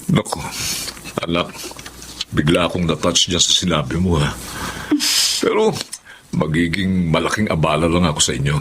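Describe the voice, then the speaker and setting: A man's voice: deep voice, lively intonation, normal speed, pauses often, a bit bright, slight background noise. A man playing a doting, jovial sixty-year-old Filipino stepfather in a radio drama, with an affectionate, exclamatory manner. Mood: tender, earnest. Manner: exclamatory, affectionate, chuckling asides.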